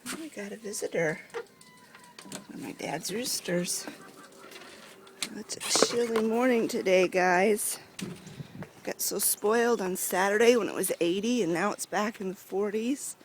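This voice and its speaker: A woman with a raspy, hoarse voice from sinus trouble chats her way through a home vlog, rambling and restarting as she goes. Her pitch sits in an ordinary middle range. Steady background noise and animal sounds come through on the handheld camera. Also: a little slow, long pauses, roomy sound, recorded from a distance. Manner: chatty, rambling, frequent ums and restarts. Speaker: vlogger. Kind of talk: casual rambling vlog chat. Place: home and outdoor animal pens. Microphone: handheld camera, self-filmed.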